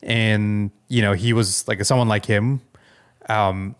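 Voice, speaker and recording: A man's voice: lowish voice, steady tone, slow pace, smooth. A man co-hosting a podcast, chatting casually in a hesitant, rambling way. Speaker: podcast co-host.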